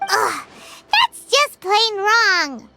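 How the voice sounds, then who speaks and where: A woman's voice: extremely high pitch, big pitch swings, extremely slow, over loud music, heavily acted. A woman voicing a tiny, curious, chatty female fairy companion in an anime video game. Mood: disgusted. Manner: refers to self in third person, inquisitive.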